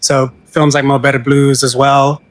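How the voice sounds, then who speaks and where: A man from Pakistan who grew up in Africa, an actor and filmmaker answering interview questions outdoors at a festival in a thoughtful, measured way. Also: medium pitch, level tone, dry recording. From Pakistan, raised in Southern and Eastern Africa.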